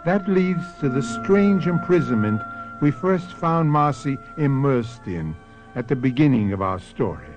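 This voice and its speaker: An actor hosting a radio mystery drama as a grave, ominous narrator, deliberate and theatrical. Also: male voice, mid-range voice, expressive, music in the background, close to an everyday voice. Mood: ominous, intriguing. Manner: theatrical, rhetorical questioning, deliberate. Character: grave, ominous male mystery series host. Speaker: host and narrator. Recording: dull old radio recording.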